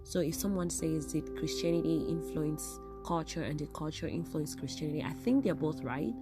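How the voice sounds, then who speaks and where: A woman with an African English accent and a low voice talks through her podcast topic in a chatty way. She sounds a bit nasal from a cold. Guitar music plays clearly behind her. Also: moderate pace. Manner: chatty, tags lines with "right?". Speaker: podcast host. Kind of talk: casual solo podcast talk.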